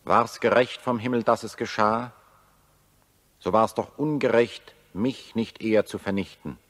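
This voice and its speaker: An actor in a 1940s German radio drama playing an anguished, bitter satirist who accuses humanity, speaking in solemn, rhetorical declamation. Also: male voice, on the low side, fairly even intonation, measured pace, a bit bright. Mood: grave despair.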